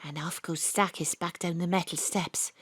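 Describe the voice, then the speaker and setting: A woman narrating and playing a bold private investigator, often hushed and conspiratorial. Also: lowish voice, fairly even intonation, moderate pace, breathy edge, no echo. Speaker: narrator and lead. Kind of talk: first-person drama narration. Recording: dry home studio.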